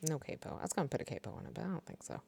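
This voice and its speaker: A woman's voice: low voice, big pitch swings, racing, gravelly. A woman from Kentucky with a Kentucky accent, chatting candidly with stream viewers between songs and laughing often as she tells stories. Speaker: livestreaming singer-guitarist. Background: acoustic guitar.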